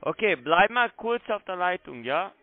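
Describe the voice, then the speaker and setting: Male telesales agent, non-native speaker, high-pitched, blunt and pressing, muffled telephone sound. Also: very animated, moderate pace, no echo. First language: not German. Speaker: phone sales agent. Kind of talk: pushy phone sales call. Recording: muffled phone line.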